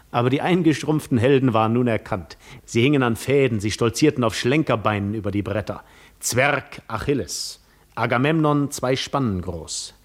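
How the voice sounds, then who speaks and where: An actor playing a seasoned war hero who recalls his adventures with reflective irony and dramatic pauses in a 1950s radio drama. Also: medium pitch, breathy edge. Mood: scornful, amused.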